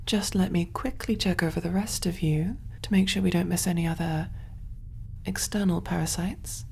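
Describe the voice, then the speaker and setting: Woman playing a hushed, mellow, kindly alien medic. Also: on the low side, fairly even intonation, normal speed, vocal fry, a little hiss or hum. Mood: soothing, attentive. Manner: gentle, hushed, reassuring, often near whisper. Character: kind, soothing female alien medic, calm and clinical. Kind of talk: ASMR sci-fi roleplay, soft-spoken.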